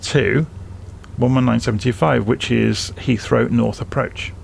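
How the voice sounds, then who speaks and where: A man's voice: medium pitch, fairly even intonation, unhurried, vocal fry, slight background noise. A man presenting a casual flight simulation tutorial, explaining things informally, step by step.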